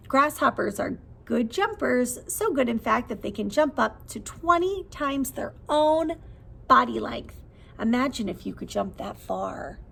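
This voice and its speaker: A woman with a fairly high voice and a noticeable creak reads a children's book aloud in the manner of a preschool teacher. She is expressive and leaves room after questions for young listeners. There is some faint noise behind her voice. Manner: expressive, asks questions and pauses as if to small children.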